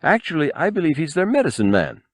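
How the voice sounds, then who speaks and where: Protocol droid, played by a male narrator: fussy, pompous, proper, raised pitch with lively swoops. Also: fast talker, dry recording, studio quality, heavily acted. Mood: prim, correcting. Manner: prim, formal, pompous.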